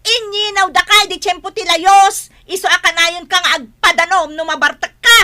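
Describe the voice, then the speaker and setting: A woman acting a sharp-tongued young wife who nags and fights back in an audio drama. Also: very high voice, steady tone, moderate pace. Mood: scolding. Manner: nagging, combative.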